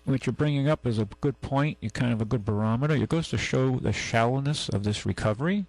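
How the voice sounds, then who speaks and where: A man's voice: mid-range voice, fast talker, a little mellow, no room sound, poor audio. A man hosting a talk radio show, talking in a folksy, rambling, opinionated way. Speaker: radio show host and used car dealer. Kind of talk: casual radio chat. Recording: AM radio broadcast.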